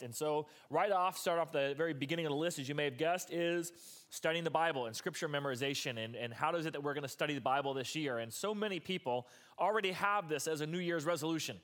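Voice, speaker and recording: Hoarse pastor with a strained voice. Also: male voice, fairly high pitch, fairly bright tone. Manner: casual, rambling asides. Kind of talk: sermon.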